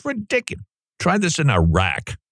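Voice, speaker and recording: A man behind a studio mic, hosting a talk radio show. He swings his pitch widely as he mocks and reads aloud. The sound is close and dry. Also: normal speed, dry recording. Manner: animated, mocking, sarcastic asides. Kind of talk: commentary on a curriculum, reading excerpts aloud.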